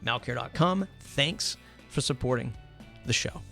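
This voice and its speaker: A man's voice: medium pitch, animated, unhurried, gravelly, fairly bright tone, soft background music. A man hosting a podcast in a casual, ad-libbed way, chatty and informal, repeating phrases for emphasis. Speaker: podcast host. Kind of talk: casual ad-libbed sponsor reads and interview.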